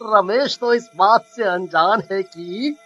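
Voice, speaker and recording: Cartoon treasure hunter, male, very high-pitched and piercing, greedy and cowardly, broadly theatrical. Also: slow, pushed and bright, dry recording, dull audio, faint music behind, exaggerated voice. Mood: gleeful, greedy. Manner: exaggerated, theatrical, stammering when scared. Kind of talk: Hindi cartoon dialogue.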